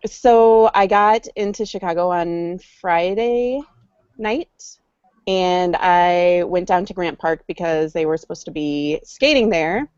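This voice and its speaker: Woman, low voice for her sex, rambling with many ums, dry close sound, dull lo-fi audio. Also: slow pace, darkish tone, clear, resonant tone, no echo. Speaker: podcast co-host. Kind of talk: casual chat.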